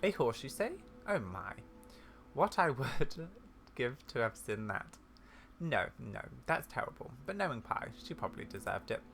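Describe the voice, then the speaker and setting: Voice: medium pitch, very animated, normal speed, vocal fry, a bit bright, slight background noise. A gamer voicing a kindly, scholarly older witch woman from a video game, in a genteel, musing manner. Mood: amused, surprised. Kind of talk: video game dialogue read aloud.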